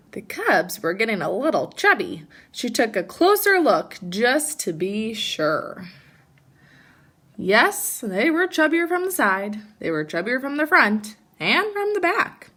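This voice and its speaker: A woman teacher reading a children's storybook aloud in an expressive narrating style. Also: on the high side.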